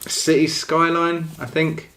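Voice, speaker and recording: A man's voice: a little high, level tone, slow, sharp tone. A British man in casual conversation about games and films as a podcast co-host.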